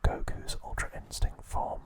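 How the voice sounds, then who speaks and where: A man narrating ASMR in a hushed, deliberate whisper, breaking his sentences into short phrases. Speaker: ASMR host.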